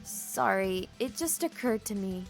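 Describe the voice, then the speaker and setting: An actress playing a persistent, inquisitive and slightly cheeky nurse in a visual novel game. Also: female voice, mid-range voice, animated, measured pace, creaky, faint music behind.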